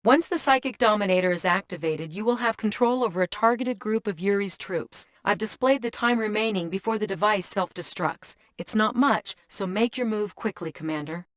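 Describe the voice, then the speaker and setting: An actress playing a composed military intelligence officer giving scripted briefings in a video game. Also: female voice, medium pitch, moderate pace, few pauses, no echo, studio quality, close to an everyday voice. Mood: composed, businesslike. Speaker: mission briefer. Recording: clear battle and vehicle noise behind.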